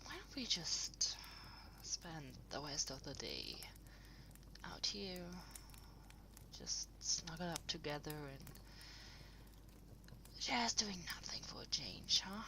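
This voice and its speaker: Cozy female partner voiced by a woman for intimate audio roleplay; low, creaky voice, soft unhurried rambling, affectionate. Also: wildly swooping pitch, background noise, exaggerated voice. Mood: cozy, coaxing. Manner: soft, breathy, hesitant rambling with trailing pauses. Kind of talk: intimate unscripted audio roleplay, spoken to the listener.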